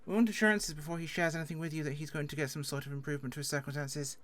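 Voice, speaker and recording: A woman's voice: low-pitched, fairly even intonation, very fast. Female public defender in roleplay; blunt, assertive, sure of her ground. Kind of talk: improvised legal roleplay.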